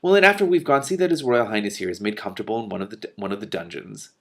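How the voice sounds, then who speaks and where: Man acting a brisk, decisive storybook king. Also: on the low side, fairly bright tone. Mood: brisk, wry. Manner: brisk, commanding. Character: decisive, wry king of an enchanted forest.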